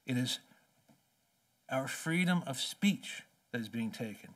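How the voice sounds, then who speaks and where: A man in his forties giving an impassioned keynote speech at a podium, emphatic and rhetorical, with slow deliberate phrases. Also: a little high, fairly bright tone. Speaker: keynote speaker. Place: large conference hall, at a podium. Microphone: podium microphone. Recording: distant conference hall sound.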